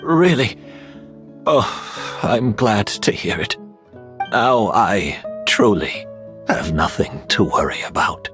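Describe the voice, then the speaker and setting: An actor playing a weary, courteous master botanist in a video game, reflective and formal, with halting phrasing. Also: male voice, on the low side, big pitch swings, over music. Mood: relieved. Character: weary, courteous male master botanist facing death, resigned.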